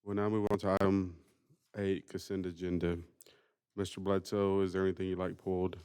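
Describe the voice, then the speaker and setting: A man chairing a school board meeting, running through the agenda with a formal, steady roll-call cadence. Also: deep voice, a little fast, clean tone.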